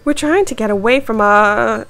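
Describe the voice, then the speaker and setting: A woman's voice: on the high side, normal speed, fairly bright tone. A woman voicing a careful, sensible young male mouse in a children's storybook. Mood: nervous.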